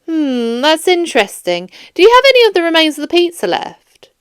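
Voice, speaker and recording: Woman acting stern, measured police detective. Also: very high-pitched, huge pitch swings, no echo, clean studio sound, pitched above the performer's own voice, heavily acted. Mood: probing. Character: firm, probing police detective chief inspector questioning suspect. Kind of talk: children's audiobook character dialogue.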